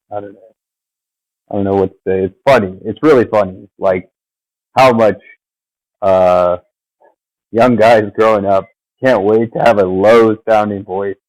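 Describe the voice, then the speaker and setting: A man's voice: fairly low pitch, darkish tone, dry recording, poor audio. A man rambling casually at his computer, drifting off and filling gaps with fillers as he talks over his own video. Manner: meandering, trails off, many fillers. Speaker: vlogger.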